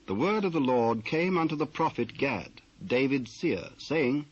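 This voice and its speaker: Husky British male Bible narrator. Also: mid-range voice, animated. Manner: measured, formal. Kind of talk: scripted dramatised Bible narration. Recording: muffled old cassette transfer.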